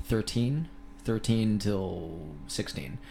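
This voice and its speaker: A young man in his twenties with an American accent talks as a podcast guest. He explains patiently and carefully, pausing on fillers. His voice sits lowish, with faint ambience behind it. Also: expressive, gravelly, mellow, slight background noise. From Filipino-American, raised in Thailand. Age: 20s. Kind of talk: casual interview. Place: podcast studio.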